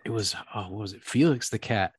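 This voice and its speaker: A man with a fairly low voice chats as a podcast host. His delivery is casual and meandering, with hesitant searching for words. The sound is dry, with little room in it. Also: brisk, slightly rough, dry recording. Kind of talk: casual chat.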